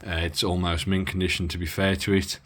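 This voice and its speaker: A man giving a casual, rambling bench-test walkthrough of an old radio, often pausing and correcting himself. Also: sits low, flat delivery. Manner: rambling, self-correcting, lots of filler. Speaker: radio hobbyist reviewing gear.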